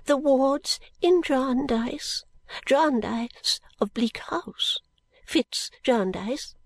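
Fluttery eccentric old lady, high and slow, genteel and nervous, as a woman reader puts her on. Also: animated, darkish tone, dry recording, exaggerated voice. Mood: hushed confiding. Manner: high, slow, stately and confiding, drops to whispers.

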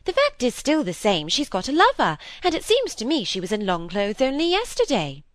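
A woman voicing a bossy, impatient older spinster from a storybook, brisk and exclamatory, often breaking in. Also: a little high, very animated, fairly bright tone, no echo, clean studio sound, pitched above the performer's own voice.

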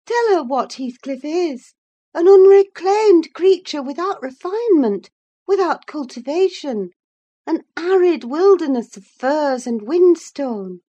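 A fierce young married woman of a classic novel, played by a woman narrator, speaks in a high, forceful voice. She declaims with scorn and command, swooping on her emphatic words. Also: expressive, a little slow, muffled recording. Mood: scornful, emphatic.

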